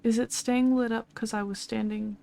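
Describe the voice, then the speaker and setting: A woman's voice: on the high side, steady tone, moderate pace, gravelly, dark tone. A woman streaming a game, casually muttering to herself as she works out a puzzle.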